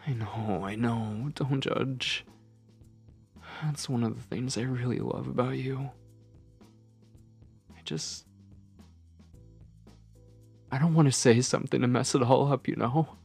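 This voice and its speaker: Lovestruck yandere man played by a male actor, ASMR roleplay. Slow, gravelly delivery, nervous and intimate, hesitant phrasing. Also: mid-range voice, fairly even intonation, darkish tone, faint music behind. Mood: awkward, bashful. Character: shy, lovestruck obsessive yandere man on a date.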